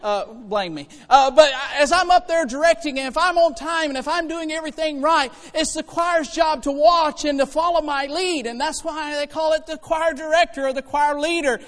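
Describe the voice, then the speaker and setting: High-pitched male preacher in a reverberant hall. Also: moderate pace, few pauses. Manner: conversational and folksy, swinging from hushed asides to loud exhortation. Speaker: preacher and church choir director. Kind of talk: animated sermon to a congregation. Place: church hall, preaching to congregation. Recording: reverberant hall with long echo.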